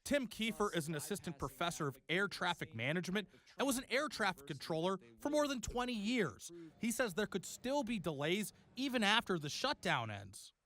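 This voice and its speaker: A man's voice: sits high, very animated, normal speed, a bit bright. An American man presenting a scripted television news report, recorded with background noise.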